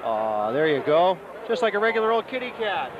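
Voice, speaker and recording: A man's voice: high-pitched, sing-song, a little fast, highs cut off, crowd noise. A man hosting roving event coverage at a banquet, talking in a folksy, chatty and enthusiastic way on a handheld mic. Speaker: event reporter and host. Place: indoor banquet hall at a party. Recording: noisy camcorder audio at a party.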